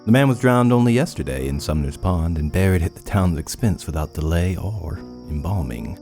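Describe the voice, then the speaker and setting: Man playing an arrogant mad scientist type, deep voice, bossy and exact, horror radio play. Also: lively intonation, moderate pace, darkish tone, faint music behind. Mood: smug. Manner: imperious, clinical, precise. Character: arrogant, obsessive young male medical scientist. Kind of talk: audio drama acting.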